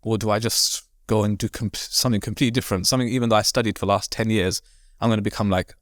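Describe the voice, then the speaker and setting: A man from Devon, an imam, talking casually as a podcast guest in quick, rambling sentences full of fillers and restarts. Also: lowish voice, fairly even intonation, no room sound, clean studio sound. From Devon, England. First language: not Arabic. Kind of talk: casual conversational interview.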